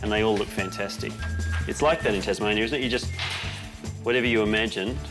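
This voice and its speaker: A man's voice: fairly low pitch, quick pace, over music. A man presenting on camera, chatting enthusiastically and explaining food with casual fillers.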